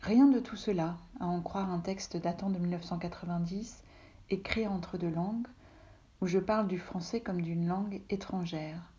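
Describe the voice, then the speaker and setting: A woman from Russia whose first language is Russian, calmly reading a literary text aloud from her home office, often soft and breathy. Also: fairly low pitch, moderate pace, highs cut off. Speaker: reader, translator.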